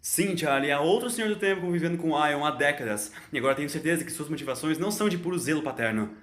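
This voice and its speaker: A man's voice: fairly high pitch, animated, fast talker, a bit bright, roomy sound, slight background noise, pitched above the performer's own voice. A man voicing an eccentric time-travelling doctor in an audio story, confident and lecturing, with emphatic, theatrical delivery. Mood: urgent.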